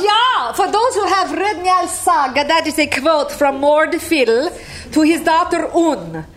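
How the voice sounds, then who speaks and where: A woman with an Icelandic accent and a high voice tells loose, rambling stories to a gathering. She chuckles through her words and wanders off track. A faint hiss sits under her voice. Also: fairly bright tone. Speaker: speaker sharing memories of a friend. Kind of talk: casual storytelling to a gathering.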